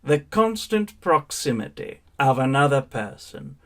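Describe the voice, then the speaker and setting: A man's voice: mid-range voice, sing-song, measured pace, fairly bright tone. An actor playing a witty, world-weary elderly male raconteur in an arch, languid theatrical monologue. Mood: arch, droll. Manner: arch, languid, epigrammatic delivery. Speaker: actor in a one-man stage show.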